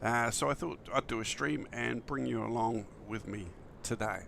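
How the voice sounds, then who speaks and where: A man talks casually to his livestream, friendly and enthusiastic in a loose, rambling way. His voice is bright but croaky and creaky from the early morning, with some background noise under it. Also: mid-range voice, normal speed. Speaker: flight simulator streamer.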